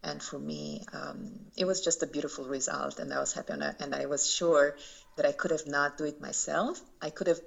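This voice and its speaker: Low, gravelly woman with halting speech. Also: steady tone. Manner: thoughtful, halting, many fillers and restarts. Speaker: poet and translator. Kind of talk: interview answers. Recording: dull lo-fi recording.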